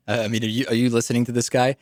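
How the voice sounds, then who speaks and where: A man's voice: medium pitch, no echo, studio quality. A man with an American accent giving political commentary as a radio talk show host.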